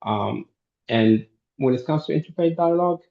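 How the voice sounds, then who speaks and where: A man, answering a podcast interviewer in an earnest, halting way full of restarts. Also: fairly high pitch, animated, vocal fry, dull audio. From Morocco. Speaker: podcast guest.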